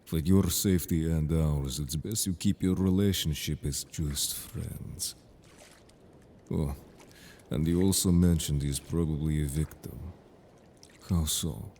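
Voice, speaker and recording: A man voicing a stern, protective merfolk guard in an audio drama, speaking in a measured, formal, serious way. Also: a bass voice, steady tone, warm tone, a little hiss or hum, exaggerated voice. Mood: probing, stern. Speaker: voice actor in an audio roleplay. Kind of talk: scripted audio drama roleplay.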